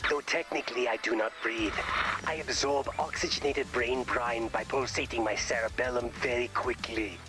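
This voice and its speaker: An actor voicing a gloating, theatrical brain creature in a video game, with pompous, wordy, gleeful delivery. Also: male voice, medium pitch, fairly even intonation, moderate pace, music in the background, lightly acted.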